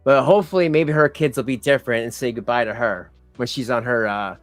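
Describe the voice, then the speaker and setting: A man's voice: mid-range voice, fairly quick, faint music behind. A man giving mocking commentary over a clip, speaking to his viewers as folks. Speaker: commentary streamer. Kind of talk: reaction commentary.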